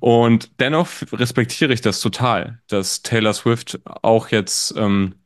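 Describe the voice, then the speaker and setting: A man in his late thirties hosts a podcast conversation, talking in a loose, rambling way with plenty of fillers and the odd joke. His pitch sits in a middle range. The recording is dry and close, with no room sound. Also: slow, no room sound. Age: 30s. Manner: rambling, joking, many fillers. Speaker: podcast host. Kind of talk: casual conversational interview.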